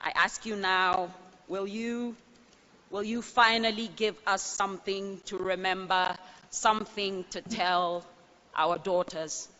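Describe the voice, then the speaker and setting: Botswana woman reciting a poem real slow, big echoey hall. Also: mid-range voice, steady tone, sharp tone, muffled recording, a little hiss or hum. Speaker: poet. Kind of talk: spoken word poetry performance.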